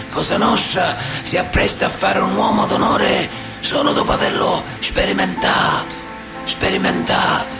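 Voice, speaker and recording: A gravel-voiced mafioso. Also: male voice, high-pitched, full character voice. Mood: grave, emphatic. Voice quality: gravelly, creaky. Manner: deliberate, emphatic, repeats words for weight. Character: Sicilian mafioso recalling his boyhood initiation, grave, brooding. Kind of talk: theatrical monologue, radio drama. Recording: roomy echo, music behind.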